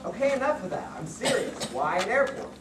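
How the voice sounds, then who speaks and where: Man playing a playful, animated puppet airport guard, echoing. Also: sits high, brisk. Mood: skeptical, joking. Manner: playful, sarcastic. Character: wisecracking, childlike airport guard who plays pirate. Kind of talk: amateur church puppet show acting. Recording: distant echoey recording with loud noise.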